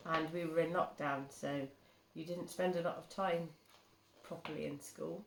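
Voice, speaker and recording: A woman's voice: sits low, steady tone, moderate pace, vocal fry. A woman chatting casually with a young child, prompting with tag questions as a parent would. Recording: roomy, noisy home live stream.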